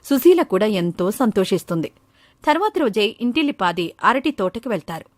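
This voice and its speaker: A woman's voice: mid-range voice, sing-song, normal speed, dry recording. A woman storyteller reading scripted narration for a children's moral story.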